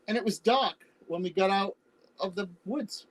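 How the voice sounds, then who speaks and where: A man acting the part of a teasing, sentimental partner in a loving couple in a play reading, with halting, bantering delivery. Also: high-pitched, rough recording. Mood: fond reminiscing. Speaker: actor in a staged reading. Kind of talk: naturalistic stage play reading. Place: remote virtual reading over video call.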